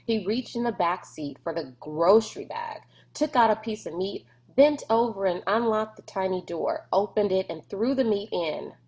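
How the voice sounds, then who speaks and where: A woman's voice: medium pitch, expressive, moderate pace, hardly any pauses, a little mellow, rough recording. A woman narrating a children's novel aloud in a scripted storybook style.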